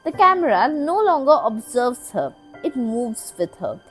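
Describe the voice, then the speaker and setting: A woman with a fairly high voice and very lively intonation delivers a scripted video essay. Her phrasing is literary and measured, with deliberate dramatic pauses. Music plays under the voice-over. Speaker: video essay narrator, podcast host.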